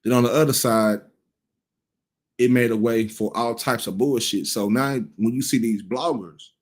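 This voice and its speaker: A man's voice: mid-range voice, fairly even intonation, moderate pace, darkish tone. A man in his thirties giving casual cultural commentary, blunt and profane, rambling with frequent restarts. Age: 30s.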